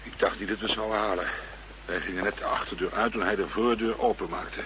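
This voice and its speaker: An actor playing a brisk, gruff police detective in a radio play, speaking in a clipped, easily exasperated way. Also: male voice, on the low side, fairly even intonation, natural voice. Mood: pensive. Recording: noisy, humming radio play recording.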